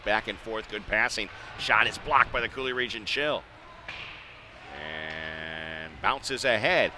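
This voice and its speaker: A man calling a live hockey game as a play-by-play radio announcer, in clipped running phrases. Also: lowish voice. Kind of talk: live sports radio commentary. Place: ice hockey arena. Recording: noisy live ice arena ambience.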